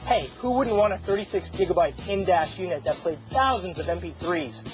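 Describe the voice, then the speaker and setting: A man's voice: high-pitched, animated, brisk. A man presenting a scripted television tech segment, heard on dull archived broadcast audio. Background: music.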